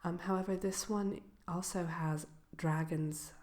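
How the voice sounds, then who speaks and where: A woman playing a polite, slyly malicious wicked witch selling brooms in a soft, hesitant, unhurried ASMR roleplay. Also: on the low side, fairly even intonation, lightly acted. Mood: genteel, unhurried. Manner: hesitant, unhurried, genteel shopkeeper patter. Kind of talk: ASMR roleplay, soft-spoken and partly whispered.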